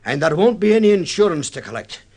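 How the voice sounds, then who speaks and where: Blunt, bitter sea captain, animated delivery. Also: male voice, high-pitched, quick pace, heavily acted. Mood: angry, defiant. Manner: forceful, accusing, emphatic. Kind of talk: 1950s radio drama acting.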